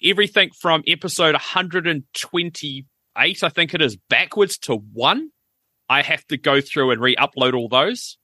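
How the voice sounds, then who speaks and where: A man's voice: fairly high pitch, lively intonation, unhurried, bright, forceful tone, dry recording. A man, co-hosting a podcast in casual chat, explaining things at length with wry asides. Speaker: podcast co-host.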